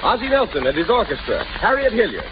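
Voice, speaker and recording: A man's voice: high voice, sing-song, fast. A man announcing a 1930s radio show in a showy, proclaiming scripted style. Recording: noisy, dull 1937 radio recording. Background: music.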